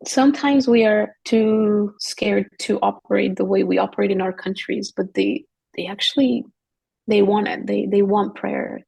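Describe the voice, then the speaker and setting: Husky, gravelly-voiced woman telling stories. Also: medium pitch, fairly even intonation, normal speed, darkish tone, poor audio. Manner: earnest, storytelling, quotes others in dialogue. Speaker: guest missionary. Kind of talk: podcast conversation.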